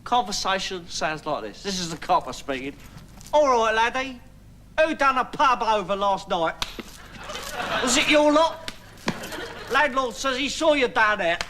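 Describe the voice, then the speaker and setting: High-voiced cheeky punk joker. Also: male voice, lively intonation, unhurried, pauses often, pushed and bright, slight background noise, heavily acted. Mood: mock gruff. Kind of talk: comedy sketch monologue.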